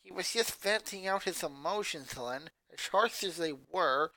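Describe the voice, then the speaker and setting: A bookish little genius girl arguing her case in a quiet, level tone, as a male reader puts her on. The voice sits a little above his own and stays soft and bright. It sounds like a fan-read story. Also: high-pitched, animated, unhurried, dry recording, heavily acted, low-quality recording. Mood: reasoning.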